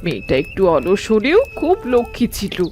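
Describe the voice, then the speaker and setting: A caring old village mother in a cartoon. Her medium-pitched voice is tender and fretful, with soft music underneath. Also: wildly swooping pitch, fairly quick. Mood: alarmed. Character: kindly, anxious elderly village mother-in-law. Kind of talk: animated cartoon voice acting.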